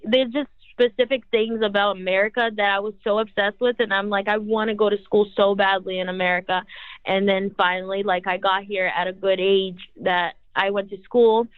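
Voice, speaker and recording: A woman's voice: mid-range voice, flat delivery, normal speed, dry recording. Woman singer with tinny, phone-like audio. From Brazil. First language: Portuguese. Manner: rambling, heavy use of "like". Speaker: singer, guest. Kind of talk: interview answers.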